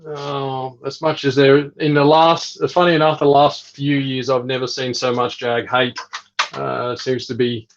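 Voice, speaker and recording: A man's voice: medium pitch, level tone, normal speed. A man talking casually and unscripted as a reptile breeder, in a hesitant, matter-of-fact way. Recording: slightly dull, lo-fi sound.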